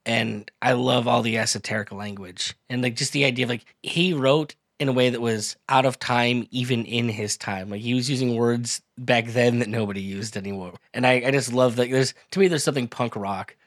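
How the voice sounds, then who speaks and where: A man's voice: medium pitch, moderate pace, hardly any pauses, fairly bright tone, dry recording, clean studio sound. A man hosting a casual podcast conversation, curious and thoughtful, asking questions and musing. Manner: curious, thoughtful, with frequent affirmations.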